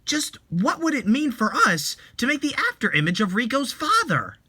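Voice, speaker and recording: Guy doing a stern older relative telling someone off, high sharp voice, indignant, story reading. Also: big pitch swings, normal speed, slightly rough, exaggerated voice. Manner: scolding.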